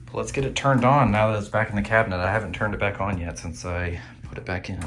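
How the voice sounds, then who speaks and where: Low-voiced man with clear background noise. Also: fairly even intonation, fast talker. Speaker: video host and radio restorer. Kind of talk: casual hands-on vlog narration. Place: tiny home workshop.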